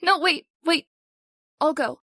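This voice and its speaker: Actress as a high-voiced, haunted radio-drama wife. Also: female voice, slow, pushed and bright, no echo, exaggerated voice. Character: Sarah Seaton, frightened, haunted, obsessive wife. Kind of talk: old-time radio horror acting.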